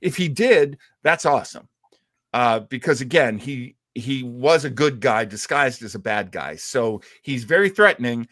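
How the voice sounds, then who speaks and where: A man's voice: mid-range voice, expressive, measured pace, a bit bright, no echo. Enthusiastic man in a dry, close recording. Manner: enthusiastic, rambling fan talk. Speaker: co-host of a retro radio show. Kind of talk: casual live-stream chat about old radio serials.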